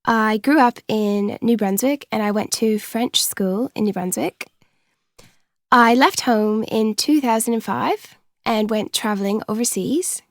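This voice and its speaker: A woman from Canada talking about her life in a scripted monologue, brisk and matter-of-fact. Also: a little high, no echo, studio quality. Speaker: speaker in a course recording. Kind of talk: scripted first-person monologue.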